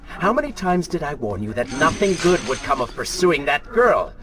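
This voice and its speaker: An actor playing a prince's sardonic dark alter ego in a video game, mocking, sly and insinuating. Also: male voice, fairly high pitch, very animated, moderate pace. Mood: scornful. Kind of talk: theatrical video game villain acting. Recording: noisy game capture with ambience.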